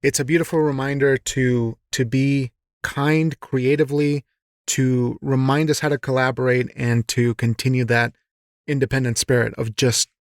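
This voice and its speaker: A man's voice: medium pitch, level tone, moderate pace, no room sound. Man hosting an interview, close dry sound. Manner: appreciative, encouraging. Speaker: podcast host, interviewer. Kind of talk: conversational interview.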